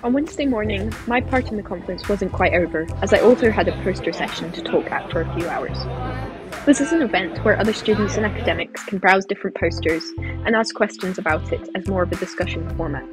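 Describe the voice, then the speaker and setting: A woman's voice: medium pitch, quick pace, over music, low-quality recording. A woman in her twenties, a PhD student, narrating a vlog and chatting casually. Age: 20s.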